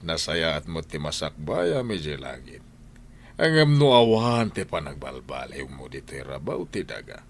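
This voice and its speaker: A man's voice: extremely low pitch, very animated, moderate pace, exaggerated voice. A man with an Ilocano accent playing a firm, reproachful husband in a radio drama, admonishing his wife. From Philippines. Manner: admonishing, preachy.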